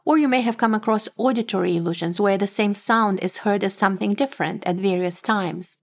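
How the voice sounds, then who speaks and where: A woman from Moldova, a native Romanian speaker with a Moldovan Romanian accent, giving a measured, scripted talk as an author and professor. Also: medium pitch.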